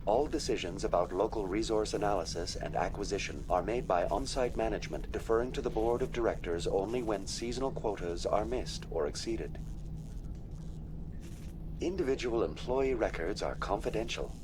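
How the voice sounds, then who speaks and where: A man's voice: lowish voice, moderate pace, background noise, natural voice. A man voicing an impassive male virtual assistant at a research facility in a video game, formal and clinical in delivery. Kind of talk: scripted game dialogue, informational briefing.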